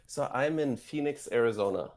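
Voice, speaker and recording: A man's voice: medium pitch, normal speed. A man from Norway, a musician and guitar teacher, chatting casually as a guest on a radio show, speaking over the phone. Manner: relaxed, chatty storytelling. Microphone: phone line.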